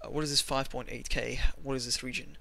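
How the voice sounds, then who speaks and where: A man with a Russian accent walks through market charts at his desk, rambling and self-mocking. His voice is gravelly and bright, recorded on a desk mic. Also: medium pitch, little pitch movement, fast talker. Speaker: trading analyst. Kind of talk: screen-recorded chart analysis.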